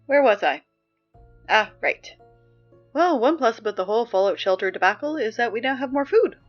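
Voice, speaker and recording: Woman as a wry high school announcer, higher pitch, exasperated, conversational, pushy, audio drama, muffled recording, faint music behind. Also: expressive, moderate pace. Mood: wry, chatty. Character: exasperated, chatty high school student announcer during an apocalypse.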